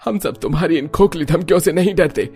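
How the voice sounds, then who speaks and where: A man playing an indignant, defiant villager in a cartoon story, forceful and declamatory. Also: a little high, fairly quick, vocal fry, soft background music. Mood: defiant, angry.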